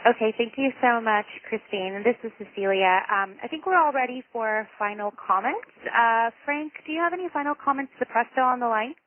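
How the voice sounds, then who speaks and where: A woman moderates a conference call with formal politeness. Her voice is on the high side and comes dry through a tinny telephone connection. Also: lively intonation, fairly quick, hardly any pauses, no echo. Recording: thin dry phone line.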